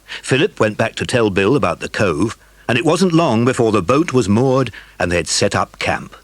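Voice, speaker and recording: A man narrates a scripted children's story. His voice is slightly low with animated intonation, and the sound is dry and close. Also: normal speed, no echo.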